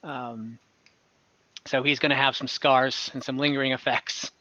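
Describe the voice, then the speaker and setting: A man narrating an online fantasy game as game master, conversational and halting, with some light amusement. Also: mid-range voice, level tone, sharp tone, no echo, lo-fi sound.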